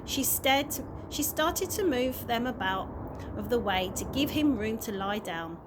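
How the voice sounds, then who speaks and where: A woman's voice: fairly high pitch, expressive, unhurried. A woman reading a children's story aloud on a slightly noisy amateur recording. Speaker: early years practitioner reading stories.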